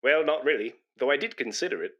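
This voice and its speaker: A man's voice: mid-range voice, animated, fast talker, fairly bright tone, highs cut off. An actor playing a pompous, self-important man in a British radio comedy, blustering and indignant, with a matey know-all manner. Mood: chatty, know-all.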